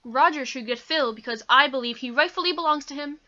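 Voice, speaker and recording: A woman's voice: high-pitched, brisk, pushed and bright. A young female student playing a confident defense attorney in a radio play, recorded on a lo-fi microphone. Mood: self-assured. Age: teenager or young adult student.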